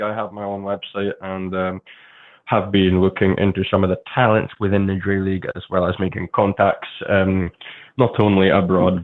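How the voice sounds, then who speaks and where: A man in his twenties, raised in Scotland with a Scottish accent and Polish as his first language, a polite, slightly nervous podcast guest. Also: lowish voice. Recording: tinny phone-like call line.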